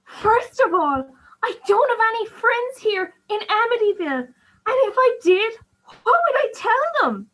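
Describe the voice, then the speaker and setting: A woman's voice: extremely high pitch, big pitch swings, a little slow, fairly bright tone, full character voice, poor audio. A woman playing an exasperated, complaining young granddaughter in a radio play. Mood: sarcastic, exasperated. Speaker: actor in an amateur radio play.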